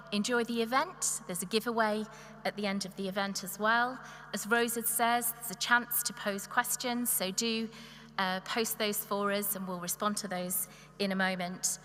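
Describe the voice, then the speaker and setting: A woman from the university staff giving a formal, encouraging welcome address in a large hall. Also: medium pitch. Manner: warm, encouraging, listing points. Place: large hall with long reverb. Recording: echoey hall, faint noise.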